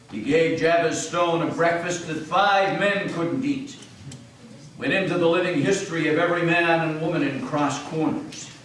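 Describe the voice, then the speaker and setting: A man's voice: fairly high pitch, fairly bright tone. A man narrating a tale aloud in a dramatic stage reading. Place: public meeting house, indoors. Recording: very echoey hall, distant mic, faint noise.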